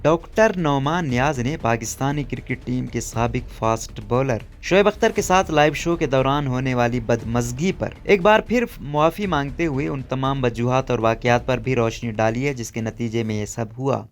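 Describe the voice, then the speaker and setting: A man with a Pakistani accent reads a scripted news report in a formal, measured manner. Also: medium pitch, soft background music. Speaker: news narrator.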